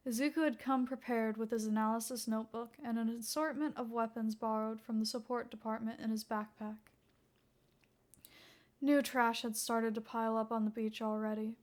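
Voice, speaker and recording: A woman narrating a scripted fan fiction story as its reader. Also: fairly high pitch.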